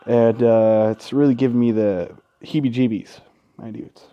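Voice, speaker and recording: A man doing gaming commentary, reading a visual novel aloud with casual, sweary asides and an expressive, dramatic delivery. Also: medium pitch, normal speed, lo-fi sound. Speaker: let's play host. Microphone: close gaming microphone.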